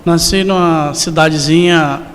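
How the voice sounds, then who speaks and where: A man in his forties with a high-pitched voice. He answers as an interview guest, politely and simply, often hesitating and adding tag questions. The recording rings like a large hall. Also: steady tone, measured pace, fairly bright tone. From rural interior of Rio de Janeiro state, Brazil. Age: 40s. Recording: reverberant hall.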